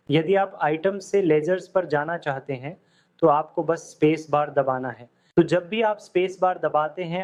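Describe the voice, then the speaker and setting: A man with a somewhat high voice walks the viewer through accounting software one step at a time. He often asks what comes next and then answers it himself, like a tutor talking over a screen recording. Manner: step-by-step instructions with questions to the viewer.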